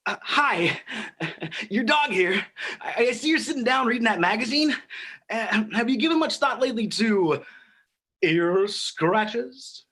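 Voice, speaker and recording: A man's voice: very high voice, expressive, unhurried, pushed and bright, husky, full character voice. A man voicing a needy, wheedling pet dog in a comic radio ad, with coaxing salesman patter.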